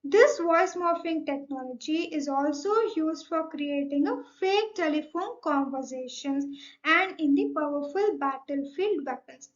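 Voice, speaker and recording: A woman's voice: sits high, unhurried. A young woman with an Indian accent gives an explanatory slide presentation, methodically signposting each point. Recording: dull, lo-fi microphone sound.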